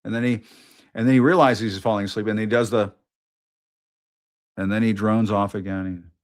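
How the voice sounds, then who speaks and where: A man's voice: fairly low pitch, steady tone, normal speed. A middle-aged man hosting a political news show, talking casually and mockingly, often restarting and repeating his lines.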